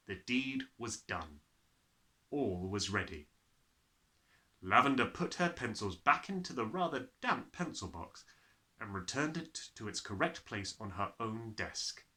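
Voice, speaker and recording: Guy reading a kids book out loud, really animated and dramatic, bright clear-cut delivery. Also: lowish voice, measured pace. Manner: expressive, dramatic storytelling delivery. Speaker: storyteller reading a children's novel aloud. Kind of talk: animated scripted narration.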